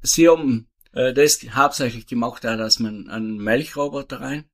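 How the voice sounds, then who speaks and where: Old south tyrolean farmer guy in his 70s, rambling, lots of restarts. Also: mid-range voice. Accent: South Tyrolean German. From South Tyrol, Italy. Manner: rambling, halting, with many restarts and fillers. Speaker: retired farmer, guest. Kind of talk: casual interview answers.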